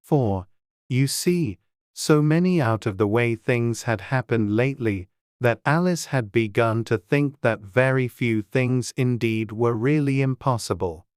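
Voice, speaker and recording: A man's voice: mid-range voice, a little slow, clean tone, dry recording, studio quality. A man reading scripted storybook narration as an audiobook narrator.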